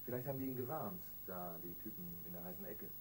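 An actor playing a plain, earnest junior police detective in a radio crime drama. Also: male voice, sits low, fast talker, mellow, close to an everyday voice. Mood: matter-of-fact. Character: matter-of-fact junior male police detective. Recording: distant from mic, faint noise.

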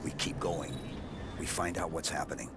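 An actor playing a stoic supersoldier who speaks in short, clipped sentences in a video game. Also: male voice, very deep voice, normal speed, very noisy. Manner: terse, flat, clipped orders. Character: stoic male supersoldier, terse and resolute.

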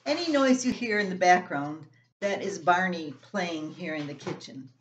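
A woman's voice: lowish voice, lively intonation, moderate pace, noisy background. A woman in her seventies reading her own personal story aloud in a plain-spoken way at home. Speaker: columnist reading own work. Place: small room at home, indoors. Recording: roomy home echo.

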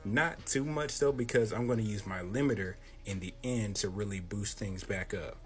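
Laid-back man with a deep boxy voice. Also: normal speed, soft background music. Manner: laid-back, slangy, talks close to the mic. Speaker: content creator explaining his audio setup. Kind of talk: casual tutorial. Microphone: handheld close-up microphone.

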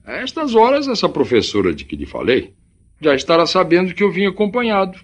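An actor playing a courteous, reassuring middle-aged gentleman in a radio drama, formal, with a Brazilian accent. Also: high-pitched, huge pitch swings, fairly quick, heavily acted. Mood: confiding. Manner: formal, correct diction.

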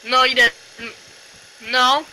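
A man's voice: extremely high pitch, level tone, slow pace, bright, forceful tone, slight background noise, poor audio. A young male player in a game race, joking and bossily protesting at his friends. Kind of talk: casual multiplayer game chat.

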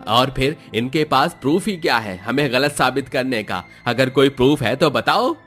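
A man's voice: medium pitch, expressive, normal speed, a bit bright, soft background music, close to an everyday voice. A man voicing a smug, scornful shopkeeper ringleader in a village cartoon story, speaking emphatically. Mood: defensive. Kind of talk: Hindi cartoon story acting.

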